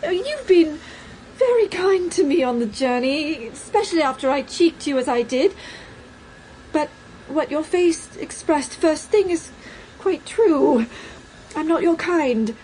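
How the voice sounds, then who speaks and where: A cheeky teenage showgirl in a radio drama. Her voice is high and teasing, and she takes her words slowly, with faint noise behind her. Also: animated, mellow, rough recording. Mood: wistful. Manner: playful, teasing, theatrical. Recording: faint background noise and ambience.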